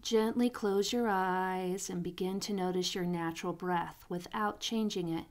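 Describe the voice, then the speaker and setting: A woman guiding a sleep meditation in a soothing, unhurried manner, pausing between phrases, with soft, breathy phrase endings. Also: lowish voice, steady tone. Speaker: meditation guide.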